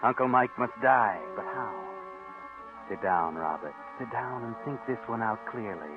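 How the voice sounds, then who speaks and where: An actor playing a sinister, sardonic narrator who taunts a schemer in a 1940s radio thriller. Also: male voice, mid-range voice, expressive, normal speed, natural voice. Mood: scheming, urgent. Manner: sardonic, insinuating. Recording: tinny old radio recording with music.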